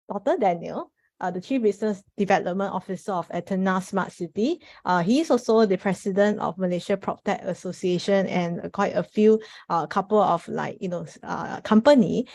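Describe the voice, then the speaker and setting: Mellow-voiced woman moderating a panel. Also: mid-range voice, creaky. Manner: informal, repeatedly says "you know". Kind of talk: spoken event introduction to an audience.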